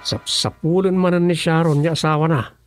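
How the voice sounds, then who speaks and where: A man's voice: fairly high pitch, steady tone, normal speed, over music, close to an everyday voice. An actor with an Ilocano accent playing a grumbling, sleepy village councilman in a radio comedy-drama.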